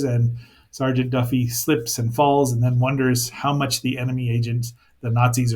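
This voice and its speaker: A man hosting a casual review show, rambling and thinking aloud with playful asides as he comments on a cartoon. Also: mid-range voice.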